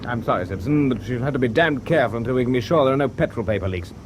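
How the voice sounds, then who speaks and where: An actor playing a brisk, commanding naval officer in a radio war drama, with an authoritative, order-giving manner. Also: male voice, mid-range voice, expressive, slight background noise, natural voice. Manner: authoritative, issuing clipped orders. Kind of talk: full-cast radio war drama acting. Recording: loud sea and boat ambience behind.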